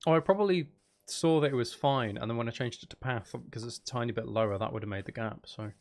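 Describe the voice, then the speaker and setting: A man's voice: mid-range voice, quick pace, darkish tone. A man chatting casually and thinking aloud as he hosts a live game stream, talking to his viewers.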